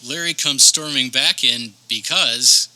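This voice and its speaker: A man's voice: mid-range voice, unhurried, bright, forceful tone, low-quality recording. A man playing the narrator of a comedic office radio play, reading scripted stage action. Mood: matter-of-fact.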